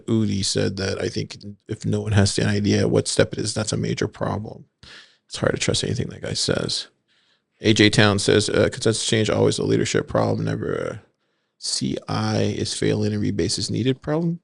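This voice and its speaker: A man co-hosting a casual podcast, reading forum posts aloud in a steady way and asking his co-host for his thoughts. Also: on the low side, gravelly, husky, dry recording, studio quality. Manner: reads quotes in an even, steady way, then asks for opinions.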